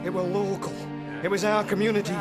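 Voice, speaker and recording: Grave, high-voiced Yorkshire village man played by an actor. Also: little pitch movement, fast, natural voice. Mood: grave, urgent. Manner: grave, insistent. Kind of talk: radio drama acting. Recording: music behind the voice.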